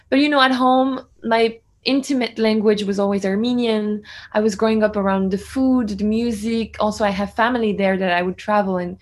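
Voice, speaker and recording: Earnest young woman reporter in her twenties. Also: on the high side. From France. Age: 20s. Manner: earnest, reflective, fluent with "you know" fillers. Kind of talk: conversational interview answers.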